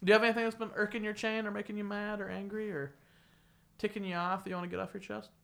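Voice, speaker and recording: A young man hosting a casual interview podcast, talking loudly and laughing often. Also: high-pitched, quick pace, vocal fry. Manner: loud, laughing, animated. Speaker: podcast host.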